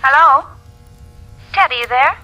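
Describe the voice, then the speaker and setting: Plucky tired working gal, highish voice, wry, 1940s radio drama w faint music. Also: female voice, animated, a little slow, bright, forceful tone, muffled recording, exaggerated voice. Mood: brisk, casual. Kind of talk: 1940s-50s radio drama acting, casual banter.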